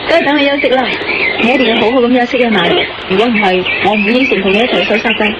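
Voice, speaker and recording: Casting: female actor as caring, level-headed adventuring wife, highish voice, Hong Kong Cantonese accent, radio drama, loud ambience behind. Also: racing, heavy background noise, natural voice. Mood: caring, practical. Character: calm, practical, caring wife and adventuring companion. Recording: muffled lo-fi sound, loud outdoor-like ambience.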